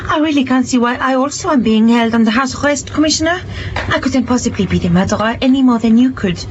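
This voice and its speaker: A woman's voice: sits high, fast talker, natural voice. An actress playing an impatient, self-assured lady steamship passenger in an audio drama, formal and given to complaint. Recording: muffled, with clear background noise.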